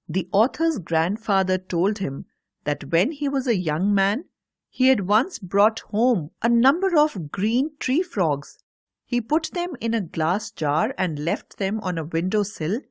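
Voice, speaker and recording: A woman narrating a scripted children's story in a measured, carefully enunciated read-aloud manner. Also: medium pitch, no room sound, clean studio sound.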